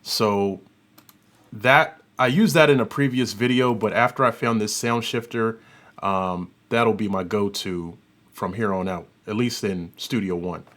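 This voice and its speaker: A man hosting an audio software tutorial, giving an informal, unscripted demonstration and thinking aloud as he works. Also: lowish voice, measured pace. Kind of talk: informal demonstration and review commentary.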